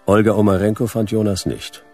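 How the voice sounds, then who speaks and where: A man's voice: lowish voice, fairly even intonation, brisk, dark tone. A man playing a terse, world-weary private detective in a radio play, speaking in a clipped, dry, laconic way. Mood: brooding, laconic. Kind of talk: hardboiled radio play narration and dialogue. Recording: music behind the voice.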